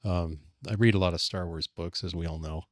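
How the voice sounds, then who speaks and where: A man's voice: low-pitched, steady tone, fairly quick, no echo, clean studio sound. A man co-hosting a podcast, talking casually with the group. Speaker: podcast co-host.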